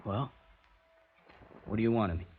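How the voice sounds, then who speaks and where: An actor playing an earnest, introspective American poet abroad with an American accent in a 1950s radio drama. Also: male voice, fairly low pitch, normal speed, narrow, tinny audio, slight background noise, close to an everyday voice. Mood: agitated. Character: American poet abroad, troubled and devoted husband.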